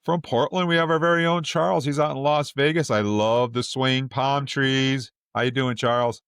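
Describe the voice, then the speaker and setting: A man's voice: mid-range voice, fairly even intonation, moderate pace, a bit bright, smooth, no echo. Man hosting a jokey fan podcast, dry sound. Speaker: podcast host. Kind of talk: casual fan podcast chat.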